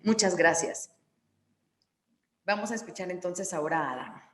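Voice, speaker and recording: A woman moderating an online panel, courteous and measured as she sums up each guest and passes the floor. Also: on the low side, fairly bright tone, reverberant.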